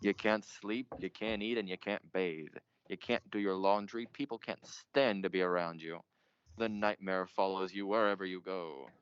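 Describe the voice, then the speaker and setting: An actor playing a pompous, melodramatic 1940s radio mystery host, theatrical and portentous in manner. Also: male voice, low-pitched, steady tone, moderate pace, clean tone, natural voice. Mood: brooding. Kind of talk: old-time radio mystery narration. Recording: muffled, noisy amateur stage recording.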